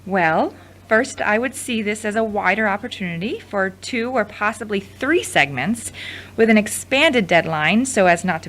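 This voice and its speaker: A woman's voice: mid-range voice, slight background noise, close to an everyday voice, low-quality recording. A woman acting an earnest, articulate young reporter.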